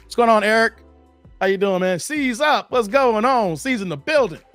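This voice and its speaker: Man, hoarse, high-pitched, slangy livestream host, chatty. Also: animated, normal speed, a bit bright, no room sound, soft background music. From Chicago area, Illinois. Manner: slangy, chatty, greets viewers by name. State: hoarse, losing his voice. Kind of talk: casual livestream commentary.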